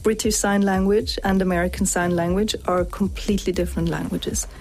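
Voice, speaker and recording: A woman linguist answering interview questions in a steady, explanatory way. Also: medium pitch, fairly quick, soft background music, low-quality recording.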